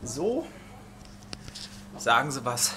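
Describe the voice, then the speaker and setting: A man's voice: mid-range voice, sing-song, very slow. A man in his thirties chatting and joking to a handheld camera on a road trip, partly in a car, partly outdoors. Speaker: vlogger. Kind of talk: casual travel vlog chat. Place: inside a car and outdoors on a parking lot. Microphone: handheld action camera. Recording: noisy car and outdoor recording. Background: car and road noise.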